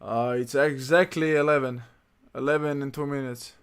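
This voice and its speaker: A man chats through his gaming livestream in a relaxed, muttering way, reading menus half to himself. His voice is gravelly and fairly monotone, with a mid pitch. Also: moderate pace. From Slovenia. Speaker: streamer. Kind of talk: casual gaming livestream chat.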